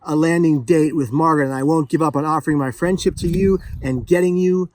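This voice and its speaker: A man with a fairly high-set voice talks in a casual vlog style. His delivery is exuberant and playful, with emphatic phrasing throughout. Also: steady tone, a little fast, darkish tone, no echo. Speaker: vlogger. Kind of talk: excited casual vlog chat while opening a parcel.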